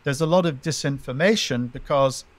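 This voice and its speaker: A middle-aged man speaking as a venture investor guest, giving measured, emphatic interview answers and listing his points in threes. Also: medium pitch.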